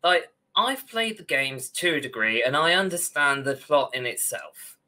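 Bright, forceful young man in casual talk. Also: fairly high pitch, very animated, a little slow. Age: young adult. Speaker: podcast co-host. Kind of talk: casual opinionated discussion. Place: small room at home, on a video call.

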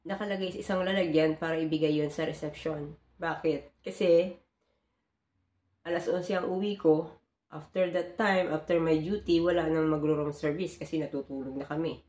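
A woman's voice: deep voice, fairly even intonation, moderate pace, darkish tone, roomy sound. A woman in her forties, a hotel housekeeper, talking earnestly to her phone camera from a small bedroom and explaining her work step by step. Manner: earnest, explanatory, mixing in English phrases. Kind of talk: casual vlog talk to camera. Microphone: phone camera at close range.

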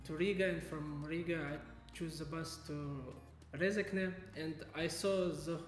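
A young man from Uzbekistan speaks in a slow, deliberate way with a slightly high voice. The room is echoey. Faint music plays behind him. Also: fairly even intonation. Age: young adult. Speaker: international student. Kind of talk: casual interview.

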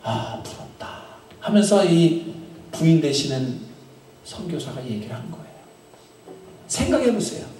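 A middle-aged man with a high voice preaches a storytelling sermon into a handheld microphone. His phrases drift off softly at the ends, broken up by long pauses. The small chapel echoes heavily around him, and he sounds set back from the mic. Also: big pitch swings, a little hiss or hum. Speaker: pastor. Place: small chapel in front of an altar. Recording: very echoey room, from a distance.

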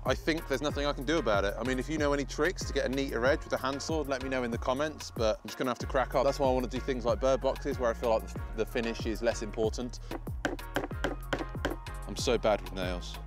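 British man, thirties, mid-pitched voice, informal thinking-aloud vlog style, self-deprecating, outdoor patio, music in background. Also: quick pace. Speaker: woodworking video host. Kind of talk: casual DIY vlog commentary. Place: outdoor paved garden patio by a field.

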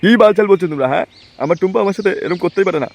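A man voicing an excitable, melodramatic young husband in a comedy cartoon, protesting that he has been wronged. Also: high voice, rapid-fire, vocal fry, a little hiss or hum. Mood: disbelieving. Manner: protesting, wailing.

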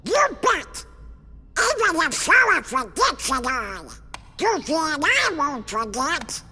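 A man's voice: extremely high pitch, very animated, takes it slowly, sharp tone, faint music behind, full character voice. An actor, a man, voicing a feisty, loyal cartoon duck sidekick in a video game with a squawky, garbled voice. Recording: long hall reverb.

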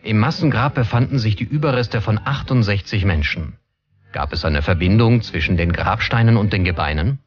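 A man narrating a documentary from a script in a measured, serious manner. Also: fairly low pitch, animated, highs cut off. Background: background music.

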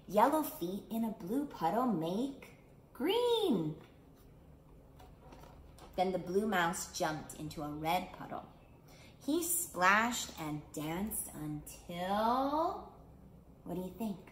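A middle-aged woman talks to small children at an unhurried, slow pace, as a storytime host. Her pitch sits in the middle for a woman. She is recorded in a roomy space with noticeable echo. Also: very animated. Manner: simple, clear phrasing with questions to young listeners. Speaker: children's art studio teacher reading storytime. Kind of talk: picture book read-aloud for young children. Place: small indoor room. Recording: roomy indoor echo, clear background noise.

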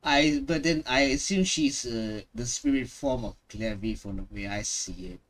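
A man's voice: mid-range voice, moderate pace, fairly bright tone. A man streaming a video game, reacting casually and reading dialogue aloud.

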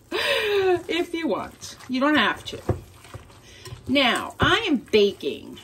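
Lively, higher-pitched woman chatting while cooking. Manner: chatty, talks through each step to viewers. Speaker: home cooking vlogger. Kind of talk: casual cook-along demonstration. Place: home kitchen. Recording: clear kitchen noise, clinking dishes.

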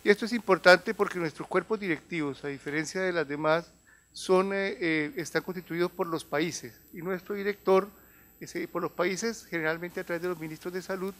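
A Colombian man in his fifties speaks as an advisor presenting at a conference. His voice sits slightly higher than most men's. He explains point by point in a semi-formal register, often halting and repeating a phrase before he moves on. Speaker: health organization advisor giving a talk. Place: large conference room. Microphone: handheld or table microphone.

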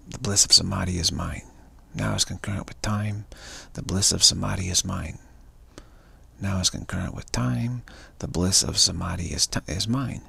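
A man repeating spiritual affirmations over and over in a slow, chanting way, talking from a cafe on a live stream. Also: deep voice, fairly bright tone. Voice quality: gravelly, raspy voice. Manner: slow, repetitive, chanting phrases. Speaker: spiritual speaker.

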